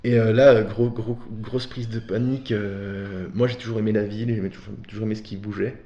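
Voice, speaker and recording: A man's voice: fairly low pitch, fairly even intonation, fairly quick, dark tone. A man from Belgium talking casually as an interview guest, telling personal stories in a candid, informal, slangy way. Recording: dull, roomy echoing sound.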